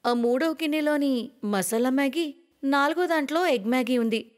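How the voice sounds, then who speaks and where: An eager, naive young bride from a storybook, played by a woman, speaks fairly high. Long reverb surrounds her. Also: moderate pace, close to an everyday voice. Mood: innocently eager. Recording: long reverberant hall echo.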